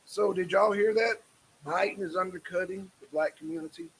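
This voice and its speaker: A man's voice: high voice, big pitch swings, fairly quick, creaky, slight background noise, low-quality recording. A man hosting a casual live stream, speaking in a repetitive, emphatic and exasperated way.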